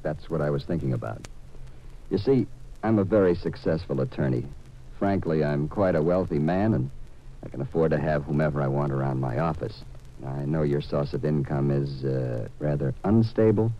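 Casting: rich urbane lawyer, deep voice, measured delivery, vintage radio drama, muffled recording. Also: male voice, slight background noise. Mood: suave, persuasive. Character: wealthy, urbane, self-assured male attorney. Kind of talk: 1950s radio drama acting. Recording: dull old-time radio recording.

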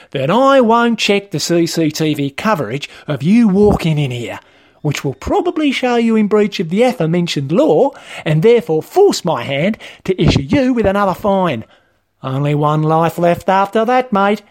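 A man's voice: sits high, sing-song, moderate pace, pitched above the performer's own voice. A man voicing an officious, matey Australian male shop trainer for a comic story, with a blokey, rule-bound manner. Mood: stern, officious. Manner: blokey, officious, laying down rules.

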